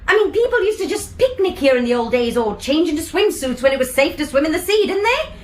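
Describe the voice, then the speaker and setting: A woman's voice: high-pitched, big pitch swings, fast. An actress playing a skeptical young woman househunting with her partner, sarcastic and scornful, in a radio drama.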